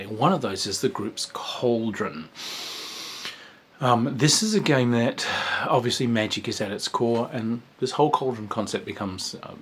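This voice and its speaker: A man with a low, husky voice talks through a tabletop game review in a loose, chatty way. He meanders, often pausing with ums and restarting his sentences. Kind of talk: casual spoken review.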